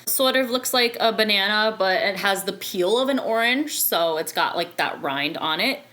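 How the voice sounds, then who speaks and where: Bright-voiced woman playing a tabletop game. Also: medium pitch, expressive, normal speed. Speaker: player in a tabletop roleplaying game. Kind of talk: casual in-game chat.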